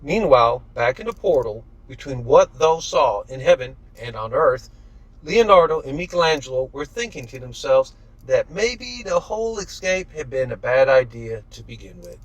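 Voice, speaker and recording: A man's voice: medium pitch, expressive, moderate pace, no room sound, low-quality recording. A man reading his own novel aloud as scripted audiobook narration.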